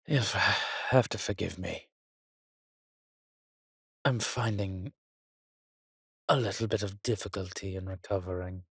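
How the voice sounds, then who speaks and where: An actor playing a weary, wry, courtly vampire in a roleplay audio drama, speaking haltingly to the listener in a refined, slightly pompous manner. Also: male voice, low voice, gravelly, fairly bright tone, breathy edge, dry recording, studio quality, full character voice. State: weakened, recovering.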